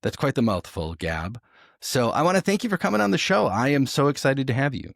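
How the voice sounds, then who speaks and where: A man's voice: mid-range voice, very animated, fairly quick, creaky, no room sound, clean studio sound. A man hosting a podcast who introduces his guest in a casual, enthusiastic way. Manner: enthusiastic, conversational. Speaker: podcast host.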